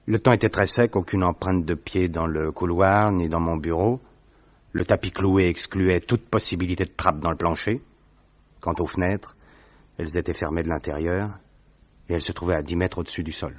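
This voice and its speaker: A man's voice: deep voice, steady tone, normal speed, dry recording. An actor playing a distraught young diplomat who recounts his ordeal earnestly. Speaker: narrator. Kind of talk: radio drama narration. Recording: dry, dull lo-fi sound.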